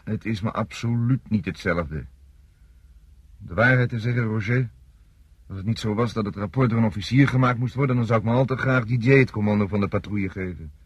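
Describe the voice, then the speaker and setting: Husky, commanding army officer. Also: male voice, fairly low pitch, no room sound, highs cut off. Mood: stern, insistent. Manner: measured, commanding, blunt. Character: firm, authoritative male army commander briefing a subordinate. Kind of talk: Dutch radio play acting.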